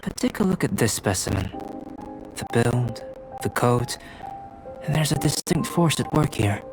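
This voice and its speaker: An actor playing a young male alchemist who speaks in a calm, measured and lecturing way. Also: mid-range voice, rough recording. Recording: backing music.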